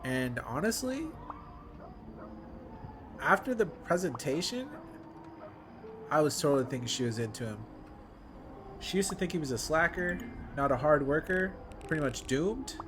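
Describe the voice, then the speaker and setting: A man's voice: fairly high pitch, big pitch swings, unhurried, pauses often. Casual slangy gaming guy over music. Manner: informal slangy fan talk. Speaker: gaming streamer reacting to a visual novel. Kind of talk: casual playthrough commentary. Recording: music playing behind the voice. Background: clear background music.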